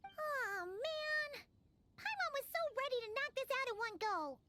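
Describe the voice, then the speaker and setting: A woman voicing a small, chatty, excitable female sprite companion in a video game, with a squeaky childlike voice and a cutesy, exclamatory manner. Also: measured pace, soft background music, heavily acted. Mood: disappointed.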